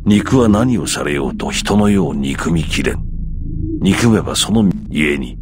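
A man's voice: deep voice, lively intonation, measured pace, background noise. An actor playing an ancient scheming sorcerer, a cruel, mock-courteous old man who savors his archaic phrasing in a visual novel. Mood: gloating. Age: older. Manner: archaic old man's speech, savoring, insinuating. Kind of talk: Japanese visual novel voice acting.

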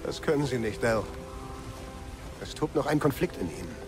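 An actor playing a calm, gentle and wise young mystic warrior in a German video game, speaking in a measured way. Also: male voice, medium pitch, music in the background, lightly acted.

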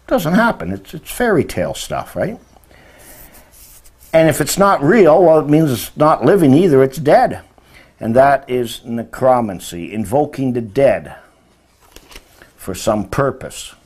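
A man in his sixties with a medium-pitched voice delivers an informal religious lesson. He explains terms didactically, leans on key words and often puts rhetorical questions to the listener. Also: sing-song, measured pace, long pauses, darkish tone, slightly rough. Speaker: religious teacher. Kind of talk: informal lecture explaining word meanings. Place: indoor room beside a presentation screen.

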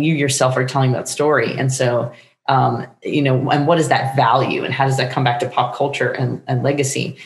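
A middle-aged woman talking casually as an interview guest, animated, with meandering, halting phrasing. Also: very deep voice. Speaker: guest playwright. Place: book-lined room at home, indoors. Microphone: shared desktop microphone on video call. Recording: roomy home recording.

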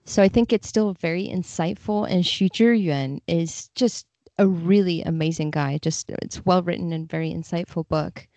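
A woman's voice: on the low side, normal speed, hardly any pauses, warm tone, no echo. A woman talking casually as an interview guest, explaining her work as a translator. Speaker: podcast guest, literary translator.

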